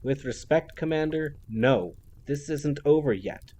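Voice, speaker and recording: A determined young elf officer pushes back urgently and defiantly, as a male narrator voices her. Her voice stays near his own ordinary pitch. Faint hiss lies under the recording. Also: lively intonation, a little slow, dark tone.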